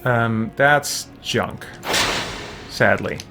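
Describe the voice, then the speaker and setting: A man's voice: mid-range voice, drawn-out words, creaky. A man giving casual video game commentary, muttering to himself as he decides and breaking into playful theatrical roleplay. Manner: muttering deliberation to himself, slipping into theatrical exclamations. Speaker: video game let's player. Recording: loud game music behind the voice. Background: loud video game music.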